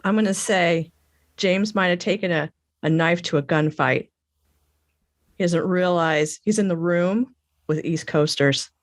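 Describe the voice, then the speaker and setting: A woman's voice: lowish voice, a little slow, pauses often, no echo, clean studio sound. A woman giving casual, playful banter as a guest judge.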